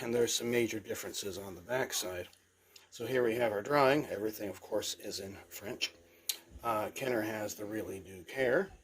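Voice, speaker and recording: A man's voice: lowish voice, slight background noise. A middle-aged man with a stuffy, congested voice, casually showing his collection and rambling with fillers and restarts. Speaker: toy collector presenting. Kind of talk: casual show-and-tell.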